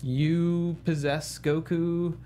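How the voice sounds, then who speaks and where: A man's voice: a little high, little pitch movement, drawn-out words, mellow. A man co-hosting a casual panel chat about gaming, explaining things in detail with plenty of jargon. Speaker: co-host on a gaming talk show.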